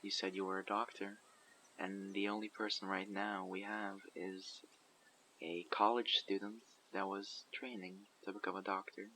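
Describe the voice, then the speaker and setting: A man's voice: low voice, little pitch movement, pushed and bright, slight background noise, poor audio. A man playing a guarded survivor leader. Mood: calm, probing. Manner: measured, probing, matter-of-fact. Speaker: community leader questioning a newcomer. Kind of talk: audio roleplay. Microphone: USB condenser microphone.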